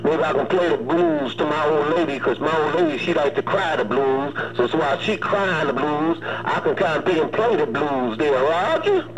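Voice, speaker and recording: A man's voice: fairly high pitch, expressive, normal speed, few pauses. A man making casual CB radio chatter as an operator, heard through a radio transmission. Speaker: CB radio operator in New York. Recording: muffled CB radio, background chatter.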